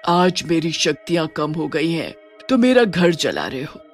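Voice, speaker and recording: A woman playing a vengeful, grieving widowed witch in a horror story, speaking in dramatic, drawn-out vows. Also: low voice, very animated. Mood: menacing. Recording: music under the voice.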